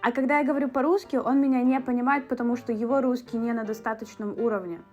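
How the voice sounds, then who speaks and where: A woman's voice: a little high, fairly even intonation, fast, darkish tone, dull audio, soft background music. A young woman from Russia talking in a chatty, casual vlog style, with fillers and asides. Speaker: beauty vlogger.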